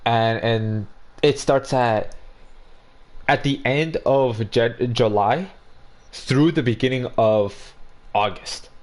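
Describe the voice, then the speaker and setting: A young man co-hosting a casual gaming podcast. He rambles, repeats himself and restarts sentences. His pitch sits in an ordinary middle range. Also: takes it slowly, pauses often. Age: 20s. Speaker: podcast co-host. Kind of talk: casual chat.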